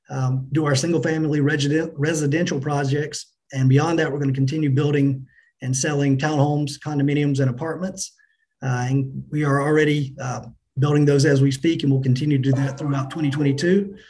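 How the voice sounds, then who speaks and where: A man's voice: fairly high pitch, darkish tone. Businesslike middle-aged man giving corporate update. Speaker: company finance chief, interview guest. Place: indoors on a remote video call.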